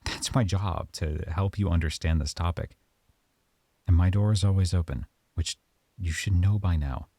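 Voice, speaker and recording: A man performing a calm, softly stern professor in an ASMR roleplay monologue, speaking close to the mic. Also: low-pitched, normal speed, dry recording.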